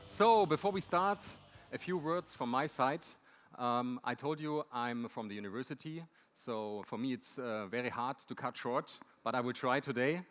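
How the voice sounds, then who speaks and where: A man's voice: mid-range voice, moderate pace, a bit bright, faint music behind. A man moderating a conference panel, introducing the topic and speakers from the stage. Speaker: panel moderator, university lecturer. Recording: echoey hall.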